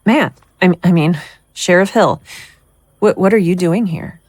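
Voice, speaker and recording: A woman playing a nervous, flustered small-town woman in an audio drama, speaking haltingly with stammers. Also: lowish voice, darkish tone, no echo, close to an everyday voice. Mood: flustered, surprised.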